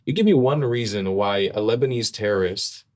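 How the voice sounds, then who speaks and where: A man's voice: fairly low pitch, expressive, brisk, a little mellow. A man, a podcast guest, explaining with emphasis in conversation, often repeating words as he starts a sentence.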